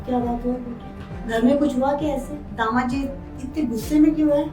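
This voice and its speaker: Lady as a hurt pleading mother-in-law in family drama, high pitched, polite but keeps asking, soft music behind. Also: fairly even intonation, normal speed, natural voice, poor audio. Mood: puzzled, concerned. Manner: imploring, respectful, persistent questioning. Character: wronged, pleading mother-in-law seeking an explanation. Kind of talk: melodramatic family drama acting.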